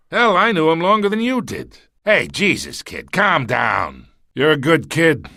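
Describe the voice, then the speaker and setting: An actor playing a menacing, condescending older crime boss with a husky voice in a video game. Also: male voice, on the high side, wildly swooping pitch, bright, forceful tone, no echo, studio quality, full character voice. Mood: mocking. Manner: condescending, threatening, unhurried menace. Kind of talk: video game dramatic acting.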